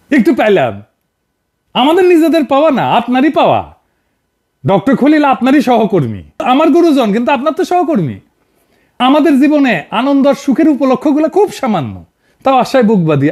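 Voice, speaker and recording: High, animated Bangladeshi man commentating. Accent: northern Bangladeshi. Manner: colloquial, sarcastic, emphatic, addresses people directly. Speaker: video commentator and host. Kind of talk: informal political commentary to camera.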